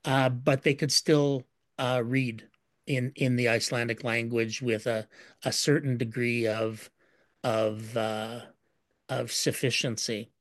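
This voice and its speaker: A man explaining history in an interview answer, measured and full of fillers and repeated words. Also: mid-range voice, flat delivery, dry recording, studio quality.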